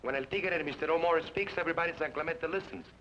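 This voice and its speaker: An actor playing a wary barber, speaking in a cool, measured way in a 1940s radio drama. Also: male voice, fairly high pitch, pushed and bright. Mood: guarded. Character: wary Mexican barber, adult man, shrewd and unafraid. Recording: dull old radio recording with hiss.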